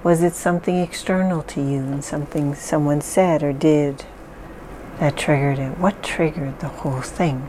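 A woman leading a guided mindfulness exercise, speaking calmly and softly with long pauses. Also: low-pitched, a little hiss or hum, poor audio. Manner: slow, calm, soft-spoken guidance with pauses. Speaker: therapist guiding an exercise.

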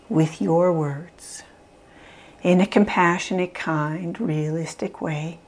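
Woman, calm meditation guide, low-ish voice, unhurried measured delivery. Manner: calm, measured, soft phrasing with long pauses. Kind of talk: slow guided visualization.

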